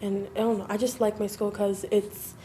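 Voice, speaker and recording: Young woman, mellow casual talk. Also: mid-range voice, level tone, normal speed, slight background noise. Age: young adult. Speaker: community college student. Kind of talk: conversational interview.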